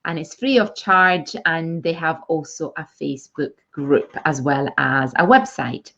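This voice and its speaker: A woman speech therapist, interviewing a mother and explaining slowly with many fillers. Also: low-pitched, a bit bright. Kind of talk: interview chat. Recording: dull lo-fi sound.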